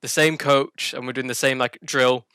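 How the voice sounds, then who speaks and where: Bright, clear young northern English man. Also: medium pitch, fairly even intonation, normal speed, no echo, clean studio sound. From Burnley, northern England. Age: young adult. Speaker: professional esports player, guest. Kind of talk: casual chat.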